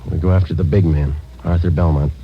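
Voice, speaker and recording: An American actor playing a terse, dogged undercover police sergeant in a 1950s radio crime drama, clipped and deadpan. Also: male voice, deep voice, fairly quick, a little hiss or hum. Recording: dull vintage radio recording with music.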